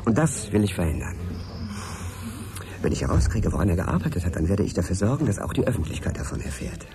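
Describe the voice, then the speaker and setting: An actor playing an inquisitive, courteous middle-aged journalist in a naturalistic radio drama, probing and earnest in conversation. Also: male voice, low voice, very animated, quick pace, very noisy. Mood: earnest, determined. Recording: crowd chatter behind, café scene. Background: café crowd chatter.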